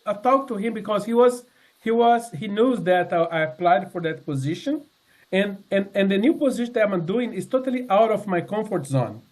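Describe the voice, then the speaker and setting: A man in his sixties with a notably high-pitched voice gives a sincere personal testimony. He talks haltingly, stopping to restart phrases and filling pauses with "uh" and "um". His delivery is plain and earnest rather than polished. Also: lively intonation. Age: 60s. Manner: halting, many fillers and restarts. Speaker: member giving a testimony. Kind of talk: personal testimony talk with slides.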